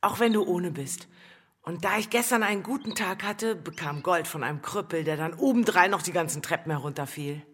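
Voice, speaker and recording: An actress playing a brazen, mocking, hard-bitten prostitute in a German radio play, blunt and taunting. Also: female voice, fairly low pitch, fairly quick, fairly bright tone, husky. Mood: brusque, flirtatious. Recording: long reverb with music behind.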